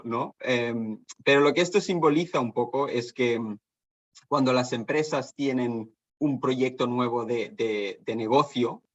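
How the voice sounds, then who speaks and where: A man's voice: medium pitch, muffled recording. A man from Barcelona, half Danish, with a Spanish accent, giving a seminar talk as a guest consultant, with many fillers and restarts. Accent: Spanish from Spain. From Spain (Barcelona), half Danish. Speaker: guest lecturer, sustainability consultant. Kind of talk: online seminar presentation. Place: office in Copenhagen.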